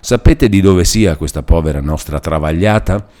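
A man's voice: deep voice, moderate pace, warm tone, lightly acted. A man reading a classic novel aloud, voicing a kind elderly cardinal who speaks solemnly and tenderly. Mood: eager.

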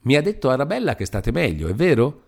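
A man reading aloud and voicing a lively, chattering young widow with a bright, teasing manner. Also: mid-range voice, fast, creaky, pitched above the performer's own voice, exaggerated voice. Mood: chatty.

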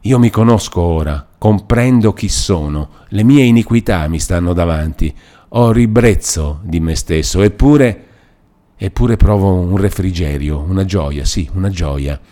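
A man's voice: deep voice, measured pace, mellow. A man reading a classic novel aloud, voicing an anguished, repentant nobleman who pleads through tears. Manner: emotional, pleading.

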